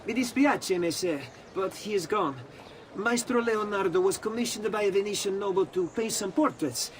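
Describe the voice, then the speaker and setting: A man's voice: high voice, normal speed, a little hiss or hum, close to an everyday voice. An actor playing an apologetic, polite townsman in a game cutscene. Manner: polite, informative. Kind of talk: dramatic game cutscene acting.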